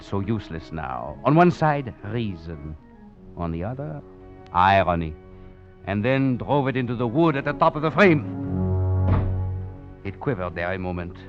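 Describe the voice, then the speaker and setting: An actor playing a proud, half-crazed fugitive master thief, narrating theatrically in a 1940s radio drama. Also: male voice, mid-range voice, natural voice. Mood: resolved. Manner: theatrical, grandiose, brooding. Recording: dull vintage 1940s radio with music behind.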